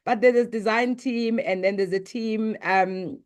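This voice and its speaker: A middle-aged South African woman whose first language is Sepedi, answering interview questions as a business executive in a measured, composed way. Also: mid-range voice, fairly even intonation.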